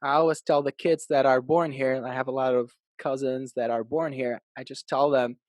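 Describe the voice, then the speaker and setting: A man in his thirties from Iraq, a podcast guest telling his life story in an upbeat, animated way. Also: mid-range voice, fairly quick, no echo. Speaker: podcast guest, real estate agent. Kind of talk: casual interview storytelling.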